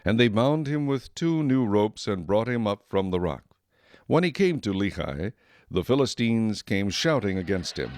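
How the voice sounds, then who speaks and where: Low-pitched male Bible narrator. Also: big pitch swings, moderate pace, darkish tone, no room sound, studio quality.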